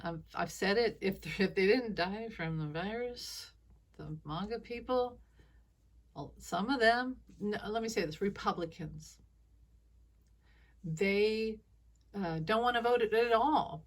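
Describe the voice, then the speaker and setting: A woman's voice: medium pitch, unhurried. A woman with a scratchy voice from allergies, rambling through a casual solo monologue as a psychic commentator, with chuckling asides. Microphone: phone.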